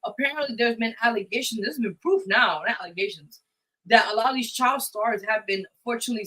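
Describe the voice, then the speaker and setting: A woman's voice: on the high side, bright, forceful tone, low-quality recording. A woman hosting a casual live talk show, speaking in an emphatic, blunt way. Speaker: radio show host.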